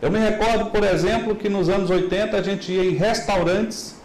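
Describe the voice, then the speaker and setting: Middle-aged male lecturer with a Minas Gerais accent, lively and quizzing the audience; echoey hall with faint noise. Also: high-pitched, moderate pace. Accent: Minas Gerais Brazilian Portuguese. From Minas Gerais, Brazil. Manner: animated, asks the audience rhetorical questions. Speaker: business lecturer. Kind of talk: motivational business talk. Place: large hall.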